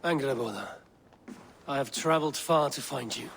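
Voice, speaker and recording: A proud Norse god in a fantasy game. He is stern and grave, with a commanding manner and a medium-pitched voice. Faint ambience runs underneath. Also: normal speed, gravelly, fairly bright tone, slight background noise. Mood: firm. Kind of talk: video game cutscene acting.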